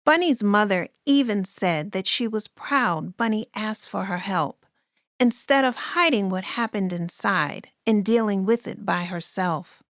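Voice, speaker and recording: Female storybook narrator, slow and expressive, deliberate rhyming read, dry close sound. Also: medium pitch, no echo. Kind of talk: scripted children's story narration.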